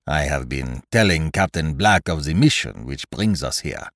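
A man voicing a genteel, shrewd foreign detective in an audiobook, speaking formally and deliberately with the odd French phrase. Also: rumbling low, expressive, no room sound, studio quality, voice pushed down. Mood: polite, probing. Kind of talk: audiobook character voice.

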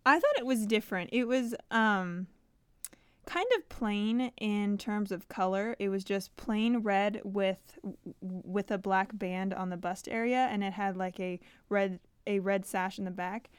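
A woman co-hosting a casual fan podcast, relaxed and a little hesitant, giggling now and then. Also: mid-range voice, a little slow, clear, resonant tone, no room sound. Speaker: podcast co-host.

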